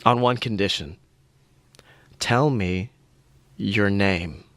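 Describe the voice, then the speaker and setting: A man voicing a guarded, terse male killer in a spooky podcast story, with a deadpan manner. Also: lowish voice, slow pace, dry recording, pitched below the performer's own voice.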